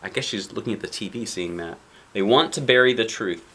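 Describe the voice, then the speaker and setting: A man's voice: lowish voice, fairly bright tone. A man reading a picture book to children, with conversational asides and questions to the listener. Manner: asks the listener questions, pausing to explain pictures. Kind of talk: read-aloud storytelling with conversational asides.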